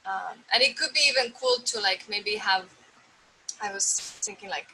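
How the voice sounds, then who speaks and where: A woman's voice: mid-range voice, normal speed, bright, forceful tone, slight background noise, low-quality recording. A woman artist talking casually about her video work, hesitant and full of fillers. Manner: hesitant, lots of "like" and "um". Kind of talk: casual conversation.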